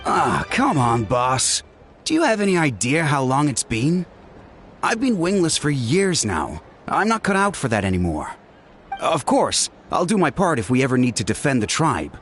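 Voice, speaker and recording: A man's voice: mid-range voice, very animated, moderate pace, a bit bright, soft background music. A man playing an easygoing, contented young balloon operator in a video game, casual and earnest. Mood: modest, content.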